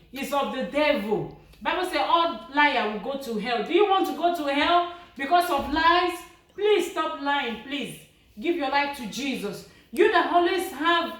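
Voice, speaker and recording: A woman delivers an evangelistic sermon as an urgent, repetitive plea, emphatic and imploring. Her voice sits fairly high. It reaches the microphone from some distance, awash in strong room echo. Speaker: evangelist preacher. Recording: very echoey room, distant from mic.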